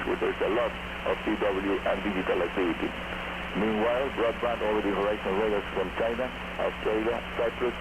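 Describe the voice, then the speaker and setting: A man's voice: lowish voice, vocal fry. A man from Cuba hosting a scripted technical radio show, heard through a shortwave receiver. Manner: technical, reads at a quick steady clip. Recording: noisy shortwave radio reception.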